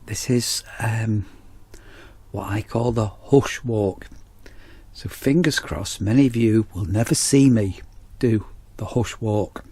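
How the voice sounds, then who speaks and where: A man's voice: lowish voice, a little mellow, slightly rough, dry recording. A man in a campsite warden role, chatting casually outdoors as he reviews a torch on an action camera. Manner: chatty, rambling, repeats "as I say". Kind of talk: casual product review vlog.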